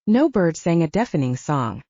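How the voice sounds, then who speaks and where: A woman's voice: low voice, big pitch swings, moderate pace, mellow, dry recording, dull audio. A woman reading literary fiction as a scripted audiobook narration, moving between story and dialogue.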